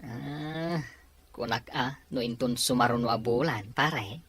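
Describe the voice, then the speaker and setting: A man's voice: mid-range voice, unhurried, a bit bright, breathy edge. A man playing an easygoing young friend of a groom in an audio drama. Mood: friendly. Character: easygoing male buddy of the groom. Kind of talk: Ilocano audio drama acting.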